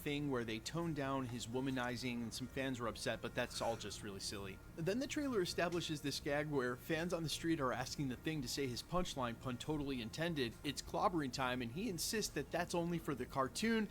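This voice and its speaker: Brisk man narrating a trailer breakdown. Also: mid-range voice, animated, a bit bright, a little hiss or hum. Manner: brisk, polished, informative. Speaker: YouTube breakdown channel host.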